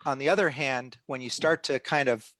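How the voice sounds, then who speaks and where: A middle-aged man hosting a live online stream, explaining the project in a relaxed way with many restarts and fillers. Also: medium pitch, moderate pace, dry recording. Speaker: host of a live citizen-science stream.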